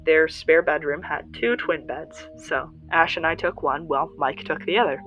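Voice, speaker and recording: Female actor as young post-apocalyptic radio host: lowish voice, earnest, reflective, halting, confiding monologue over background music. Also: a bit bright, dull audio. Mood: wistful wonder. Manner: earnest, reflective, halting pauses, addresses listeners directly. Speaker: radio show host in an audio drama. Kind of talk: first-person audio drama monologue, podcast-style confiding.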